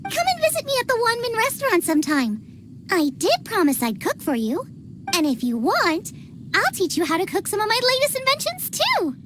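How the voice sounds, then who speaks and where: A woman's voice: extremely high pitch, wildly swooping pitch, normal speed, background noise, full character voice. A woman voicing a cheerful, passionate young girl chef in an anime-style video game, bubbly and earnest. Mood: upbeat, friendly.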